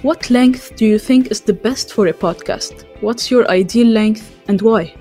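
A woman's voice: a little high, a little slow, warm tone, faint music behind. A woman from France hosting a podcast, reading out a scripted introduction and survey questions. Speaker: podcast host.

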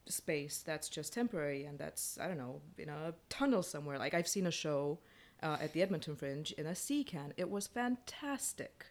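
A woman's voice: low-pitched, expressive, moderate pace, a little mellow. A woman speaking as a playwright in a casual panel discussion, frank in her opinions and often restarting her phrases.

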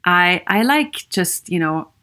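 A woman psychologist from Austria with a Viennese accent hosts her own podcast alone. She talks earnestly and encouragingly, asks her listeners many questions and fills pauses with "you know.". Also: fairly low pitch, lively intonation, bright, forceful tone. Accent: Viennese Austrian German. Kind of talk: solo podcast monologue.

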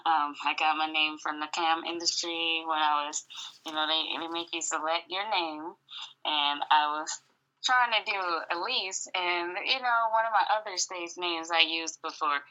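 Raspy, low-voiced woman guest, relaxed and chatty, heard over a dull phone line. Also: moderate pace. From Atlanta, Georgia, US. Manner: laid-back, laughs often, many "you know"s. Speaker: singer and model guest. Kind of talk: casual phone interview.

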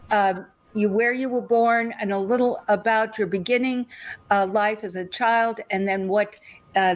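A woman's voice: medium pitch, rough recording. A woman hosts an interview show in a welcoming, friendly way, often repeating her greetings. She asks her guest plain questions about his background. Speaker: show host. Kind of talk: interview podcast.

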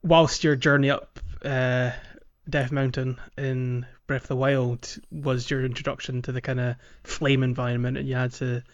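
Man, medium pitch, casual game discussion, halting explainer, slightly lo-fi audio. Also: little pitch movement. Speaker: podcast co-host.

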